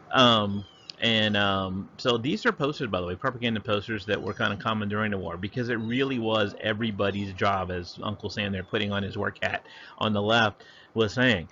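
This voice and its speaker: A man's voice: lowish voice, fairly bright tone, slight background noise. A man teaching history in a loose, conversational way, talking over slides with frequent ums and restarts. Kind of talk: casual lecture over slides.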